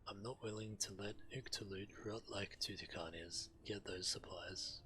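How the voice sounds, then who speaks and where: Deep, very bright-voiced man over loud noise. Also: fairly even intonation, moderate pace. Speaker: game streamer. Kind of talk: reading game quest text aloud.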